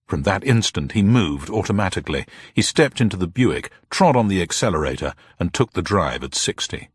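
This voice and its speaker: Low, husky male story narrator. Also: very animated, moderate pace. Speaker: audiobook narrator. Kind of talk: dramatic scripted narration of a detective story.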